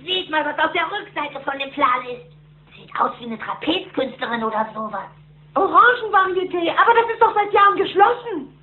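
A woman's voice: very high-pitched, sing-song, normal speed. A woman voicing a plucky, cheeky young duck nephew in a cartoon audio drama, eager and defiant. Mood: urgent, curious. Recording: tinny narrow-band old cassette.

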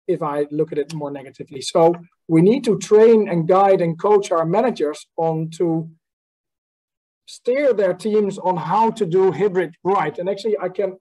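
A man's voice: sits high, dark tone, rough recording. A man in his forties speaking as a panelist on an online video call, thoughtful and prone to repeating words as he restarts. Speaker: panelist, company managing director. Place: home room on video call.

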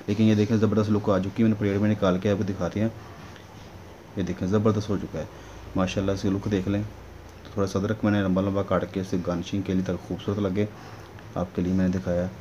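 A man's voice: on the low side, mellow. A man giving a casual, instructional recipe voice-over as a home cooking host, often checking in with the listener. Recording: dull lo-fi sound with clear noise.

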